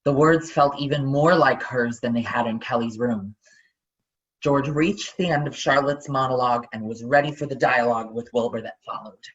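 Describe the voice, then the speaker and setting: A man's voice: medium pitch, normal speed. A man narrating children's fiction as a dramatic reading. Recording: dull, lo-fi sound.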